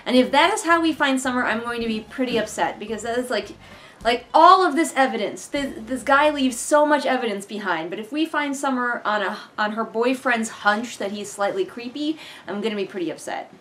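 A woman's voice: fairly high pitch, a little fast, a bit bright. A young woman giving casual book commentary in a sarcastic, exasperated manner, recorded indoors a little way from the camera. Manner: sarcastic, exasperated, mildly sweary. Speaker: vlogger reviewing a book. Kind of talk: casual read-along commentary. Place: living room couch, indoors.

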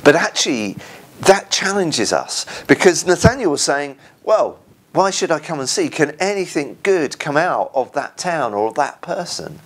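English vicar guy doing an informal sermon to camera at home, talks slowly in short earnest bits, medium pitch. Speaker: church minister. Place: indoors, small room at home. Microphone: lapel mic.

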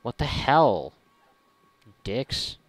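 A man's voice: medium pitch, big pitch swings, drawn-out words. A man giving casual, irreverent gameplay commentary, sarcastic and prone to muttering and swearing. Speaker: gaming video commentator.

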